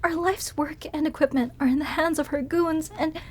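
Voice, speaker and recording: Woman voicing a high, pleading captive researcher. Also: fairly even intonation, a little hiss or hum, close to an everyday voice. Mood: remorseful. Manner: pleading, slow, emotional delivery. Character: frightened, guilt-ridden female researcher held captive. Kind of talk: audio roleplay drama.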